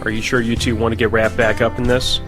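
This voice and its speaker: An actor playing a weary, stubborn army veteran in an audio drama, with a dry, plain-spoken manner. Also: male voice, on the low side, level tone, quick pace, over music, lightly acted. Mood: weary, reluctant. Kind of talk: naturalistic audio drama acting.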